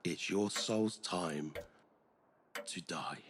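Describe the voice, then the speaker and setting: A man recites a poem in a very slow, ominous, rhythmic delivery. His voice is very low, with faint clock-like ticking behind it. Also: noisy background. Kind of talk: dramatic spoken-word poem recital.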